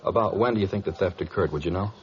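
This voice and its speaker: A man playing an easygoing, polite police detective in a 1950s radio drama. He has a deep voice and talks briskly in a plain, conversational way. The old recording is dull and clearly noisy. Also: lightly acted. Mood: courteous, inquiring. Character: courteous, easygoing male police detective sidekick.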